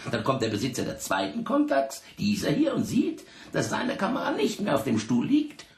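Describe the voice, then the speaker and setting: A condescending, theatrical police investigator of middle age in a radio drama. His highish voice leaps between scorn and mock courtesy, with a gravelly creak. The room around him echoes. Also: full character voice. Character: sardonic, bullying middle-aged French police investigator. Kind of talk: German radio drama acting.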